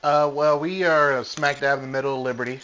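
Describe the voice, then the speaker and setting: A man, a gym coach answering interview questions in a plain-spoken, halting way. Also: medium pitch, fairly even intonation, bright, forceful tone, a little hiss or hum. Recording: muffled lo-fi audio.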